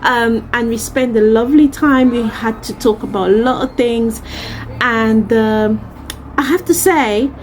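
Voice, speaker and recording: A woman's voice: fairly high pitch, a little slow, slight background noise, low-quality recording. A woman from Central Africa chatting casually as a vlogger, effusive and emphatic, often repeating words for emphasis. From Central Africa, Congolese and Cameroonian. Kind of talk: casual chat to camera.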